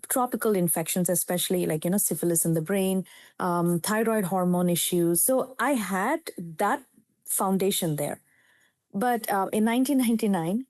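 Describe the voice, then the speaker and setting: A woman's voice: medium pitch, dark tone, dry recording, clean studio sound. Earnest Indian-accented woman psychiatrist. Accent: Indian English. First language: Telugu. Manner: earnest, reflective storytelling. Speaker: psychiatrist guest. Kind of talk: storytelling in a podcast interview.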